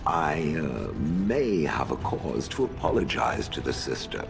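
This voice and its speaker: Ancient haughty golem, extremely low, gravelly, swooping intonation, stiff formal delivery, over music. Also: male voice, big pitch swings, a little slow, heavily acted. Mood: grudging, reflective. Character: ancient stone golem, grudging, dry and haughty. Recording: game music behind voice.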